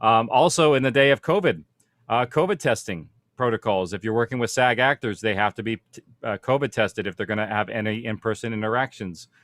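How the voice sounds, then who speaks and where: A man's voice: on the low side, fairly quick, a bit bright, no echo. Man giving practical panel advice, dry sound. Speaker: audio drama producer, panel speaker. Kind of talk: informal panel presentation, explaining.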